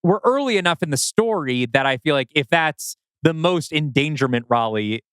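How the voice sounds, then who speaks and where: A man co-hosting a podcast, casually critiquing a story and acting out imagined quotes in an animated way. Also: fairly high pitch, normal speed, clear, resonant tone, dry recording, studio quality.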